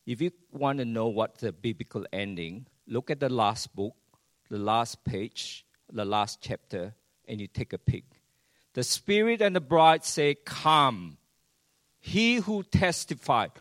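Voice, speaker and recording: Slow-preaching man. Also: mid-range voice, sing-song, no room sound. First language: Chinese. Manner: dramatic, swings between hushed and loud, rhetorical questions to the congregation. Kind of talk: sermon.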